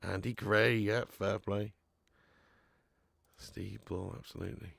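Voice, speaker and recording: A man hosting a live fan watch-along of a football match at home, chatting to viewers with sweary banter and bursting into loud cheering at chances. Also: on the low side, fairly even intonation, slow pace, gravelly, no room sound. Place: at home in front of a camera.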